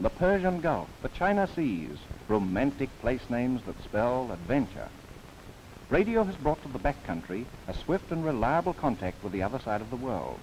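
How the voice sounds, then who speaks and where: Lively 1930s newsreel narrator, man. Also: mid-range voice, rough recording. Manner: lively, playful asides. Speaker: documentary narrator. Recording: old 1939 film soundtrack with faint hiss.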